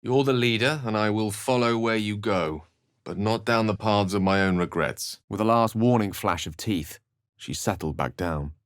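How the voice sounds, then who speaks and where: A man's voice: lowish voice, normal speed, smooth, no echo, studio quality, natural voice. A male actor voicing a bookish, courteous wizard for a video game, speaking in wry, brooding, articulate and literary phrases. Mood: earnest, guarded. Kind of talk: video game voice acting, narration and dialogue.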